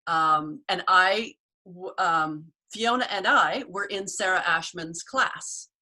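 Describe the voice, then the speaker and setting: A woman's voice: fairly low pitch, slow, rough recording. A woman from the United States hosting a casual video call chat, telling stories with frequent laughter. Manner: chatty storyteller, warm laughs. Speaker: host.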